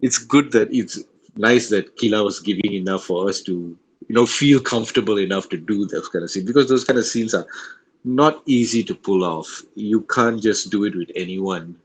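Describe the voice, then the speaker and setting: A man's voice: lowish voice, normal speed. A man, a voice actor chatting casually about his work in a roundtable. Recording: clearly noisy background.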